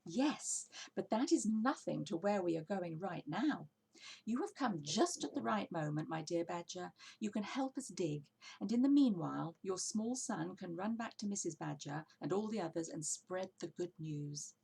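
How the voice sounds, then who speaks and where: Storybook father fox, read by a woman: confident, cunning, gentlemanly, slightly rough and crackly in tone. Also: on the low side, expressive, moderate pace, heavily acted. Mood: secretive, triumphant. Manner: assured, gallant. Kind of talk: children's storybook character voice.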